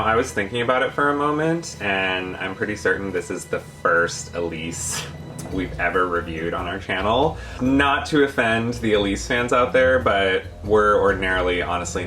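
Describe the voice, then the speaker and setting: A man co-hosting a review channel, chatting casually in a measured, appreciative, conversational way about a product. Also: fairly low pitch, pushed and bright, faint music behind. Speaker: doll review channel co-host.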